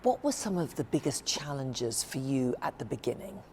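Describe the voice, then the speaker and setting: Low-voiced woman TV reporter. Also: sing-song, noisy background. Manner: measured, polished delivery. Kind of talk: broadcast narration and interviewing. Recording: narration over background music.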